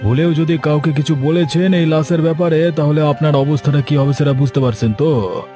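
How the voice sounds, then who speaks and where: An actor playing a menacing, smug village killer in a cartoon, bullying and commanding. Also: male voice, a little high, brisk, mellow, faint music behind, close to an everyday voice. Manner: threatening, commanding.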